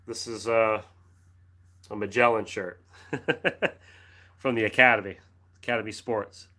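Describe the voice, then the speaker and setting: Middle-aged American man, low voice with a bright edge, hosts a live chat, dry throat with a cough drop, rambles and clears his throat. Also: fairly even intonation, takes it slowly. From United States. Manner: friendly, rambling, frequent restarts and throat clearing. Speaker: livestream host reading chat.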